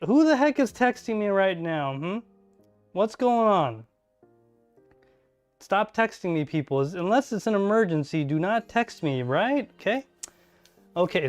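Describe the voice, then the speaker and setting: A man hosting a casual live stream, talking through his network gear and reading chat aloud as he thinks out loud. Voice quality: deep voice. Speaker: podcast host live streaming. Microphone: desk mic, level adjusted mid-stream.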